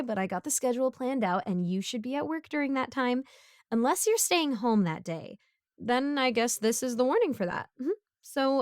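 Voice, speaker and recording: A woman's voice: fairly high pitch, clean tone, no room sound, clean studio sound. A woman playing a broke, bumbling, chatty supervillain neighbor in a casual audio roleplay, rambling awkwardly as she pleads and justifies herself.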